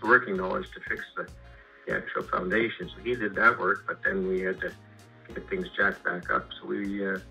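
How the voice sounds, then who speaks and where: Deep, raspy Canadian man on phone. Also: level tone, bright, forceful tone. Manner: rambling, halting, repeats words. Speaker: homeowner and sawmill customer. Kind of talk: casual phone chat about his projects. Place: remote phone call. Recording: muffled lo-fi phone call, music underneath. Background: music.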